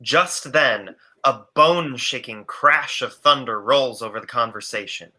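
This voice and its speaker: A dramatic storyteller played by a young man narrating a superhero radio comedy. The voice is sharp, forceful and very bright, at a medium pitch. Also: a little slow. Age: 20s. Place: room at home, on a video call.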